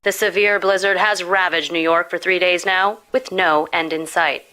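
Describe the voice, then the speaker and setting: A woman's voice: on the low side, steady tone, moderate pace, pushed and bright. A woman playing a serious broadcast announcer reading a formal weather bulletin. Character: serious female radio news weather announcer.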